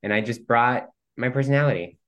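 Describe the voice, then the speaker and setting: A man's voice: mid-range voice, fairly quick, dull audio. A man whose first language is Spanish, chatting casually as a guest actor, playful with many ums and easy laughs. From Cuban heritage. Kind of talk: casual interview chat.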